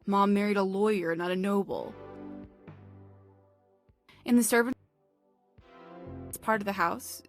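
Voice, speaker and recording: A woman's voice: mid-range voice, slow, pauses often, soft background music. An actress playing a stern, weary, sensible young woman in a visual novel, with a dry, resigned manner. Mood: weary, practical.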